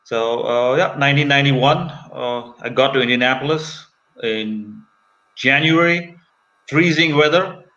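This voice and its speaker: A man in his fifties from Kuwait talks as an interview guest in a bright, forceful voice. He recounts his experiences conversationally. The sound is a slightly dull video call. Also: mid-range voice, measured pace. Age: 50s. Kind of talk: conversational personal storytelling.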